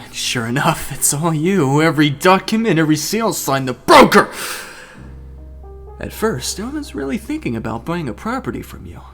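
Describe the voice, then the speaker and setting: Gleeful, wildly animated sadistic villain. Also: male voice, fairly high pitch, husky, full character voice. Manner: theatrical, gloating, often breaking into giggles and cackles. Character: gleeful sadistic male financier who tortures as a hobby. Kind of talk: audio drama acting.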